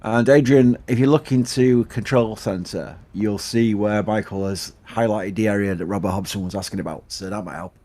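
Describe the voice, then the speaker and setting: A man's voice: lowish voice, normal speed, few pauses, mellow. A man with a Yorkshire accent hosting a casual live commentary stream, chatty, laughing often and reading out viewer questions. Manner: chatty, laughs often, thanks supporters, restarts a lot. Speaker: livestream host.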